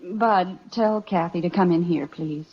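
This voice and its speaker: An actress playing a patient wife and mother in an old-time radio comedy, gently reproving. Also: lowish voice, a little slow, slightly rough. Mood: chiding. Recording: dull old radio recording.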